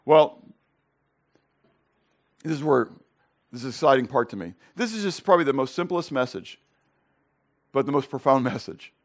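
A middle-aged male pastor preaching a sermon with Greek word study, conversational and peppered with "okay?" and rhetorical questions, rising louder on key points. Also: animated, moderate pace, pauses often. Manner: rises louder and higher on emphasis.

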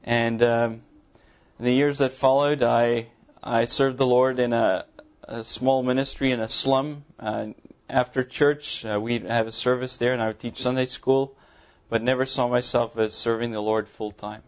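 A man in his thirties from South Africa, an Afrikaans speaker with a mild Afrikaans accent, giving his testimony from the pulpit of a church in an earnest, halting way with many ums. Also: mid-range voice, steady tone, lo-fi sound. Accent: Afrikaans-accented English, mild. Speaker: visiting pastor. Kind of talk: sermon testimony to a congregation. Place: church sanctuary.

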